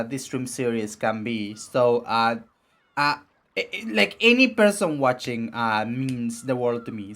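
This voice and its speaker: A young man with a raspy voice streaming casually, rambling with many fillers and restarts. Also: medium pitch, bright, forceful tone. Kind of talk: casual live-stream chat and reading game text.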